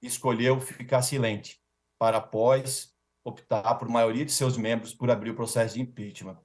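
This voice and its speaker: A man's voice: mid-range voice, steady tone, moderate pace, a little mellow. A Brazilian man speaking as a defense lawyer in a formal, rhetorical speech with emphatic repetition and ceremonious forms of address.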